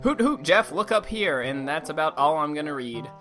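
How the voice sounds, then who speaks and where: A young man with a slight lisp giving casual, rambling commentary over a video game, swearing freely and now and then breaking into silly high voices. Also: lively intonation, normal speed. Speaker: let's play commentator. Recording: game music loud behind voice.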